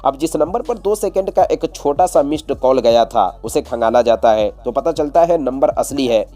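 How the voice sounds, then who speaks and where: A man's voice: mid-range voice, animated, moderate pace, dark tone, soft background music. A man telling a true-crime story as a scripted narrator, in a dramatic, explanatory storyteller's manner.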